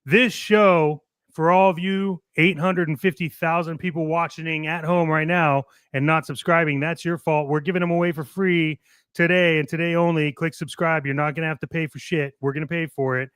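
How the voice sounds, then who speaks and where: A man's voice: fairly high pitch, steady tone, moderate pace, a bit bright, clear, resonant tone, dry recording. A man hosting a casual hype show in a showy, cheeky way, swearing casually. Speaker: podcast host.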